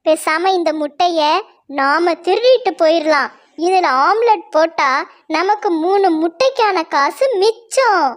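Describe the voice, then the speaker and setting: A woman's voice: extremely high pitch, expressive, measured pace. A woman voicing Jakku, a greedy male bird who steals eggs, in a blustering, dismissive manner. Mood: scheming. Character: greedy male bird, egg thief, gruff.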